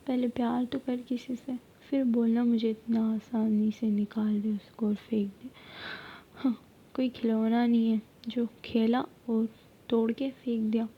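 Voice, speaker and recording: A heartbroken young woman speaks her hurt in a plaintive whisper, put on by a woman telling the story. The pitch stays fairly high and flat. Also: breathy edge, dull audio.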